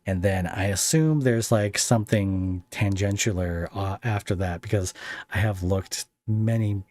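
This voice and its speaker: A man hosting a casual interview podcast, halting and rambling as he thinks aloud and puts questions to a guest. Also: on the low side, darkish tone.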